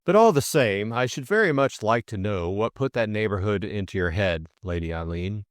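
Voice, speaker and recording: A male narrator acts out a middle-aged police superintendent from a mystery audiobook. He is cautious, wooden and unflappable, with plain, measured phrasing. The voice sits on the low side with a wide-moving pitch, recorded very dry. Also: animated, dry recording, lightly acted. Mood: stolid, cautious. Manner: measured, impassive, plain-spoken.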